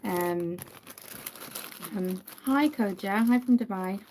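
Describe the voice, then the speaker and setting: Woman, Irish accent, Dublin, young adult, mellow warm tone, chatty, frequent ums, livestream host. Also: medium pitch, slow pace, slight background noise, low-quality recording. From Ireland. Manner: chatty, apologetic, greets viewers by name, frequent ums. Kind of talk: casual live-stream chat while doing makeup.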